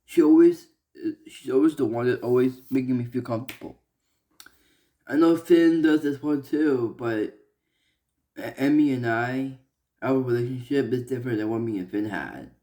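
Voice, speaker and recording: A young man from Malaysia talking earnestly in a personal vlog, halting and restarting with many fillers. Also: mid-range voice, mellow. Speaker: vlogger.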